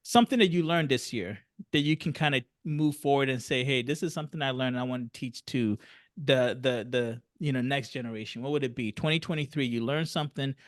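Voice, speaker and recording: A man hosting a podcast panel, animated and quick to laugh, repeating himself as he talks. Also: medium pitch, no room sound, clean studio sound. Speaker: podcast host. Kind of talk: casual panel chat.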